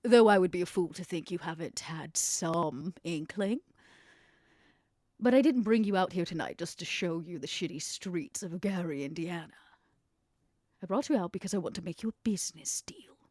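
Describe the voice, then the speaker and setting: A woman playing a scheming, worldly female vampire businesswoman in a tabletop roleplay, speaking in a theatrical, deliberate, insinuating way. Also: lowish voice, a little mellow, no room sound, clean studio sound, natural voice. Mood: coy, conspiratorial.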